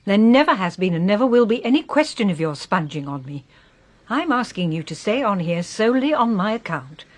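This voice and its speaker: An actress playing a proud, wistful English widow in her sixties who is ailing, in a solemn, measured radio drama style. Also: mid-range voice, big pitch swings. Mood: affectionate, earnest. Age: 60s.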